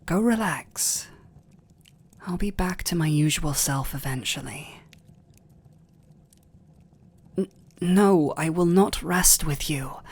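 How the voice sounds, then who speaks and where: A man with a British accent playing a gentle, overworked vampire lord in a romantic ASMR roleplay, speaking softly, formally and a little haltingly. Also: sits high, full character voice. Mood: insistent, evasive. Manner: soft, breathy, halting, formal. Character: gentle, overworked aristocratic male vampire lord, restrained and devoted.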